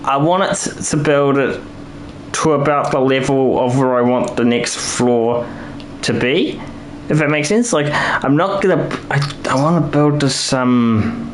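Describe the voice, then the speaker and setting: A man's voice: mid-range voice, a little slow, slight background noise. A man live-streaming a building game, rambling and thinking aloud with lots of restarts while he is losing his voice.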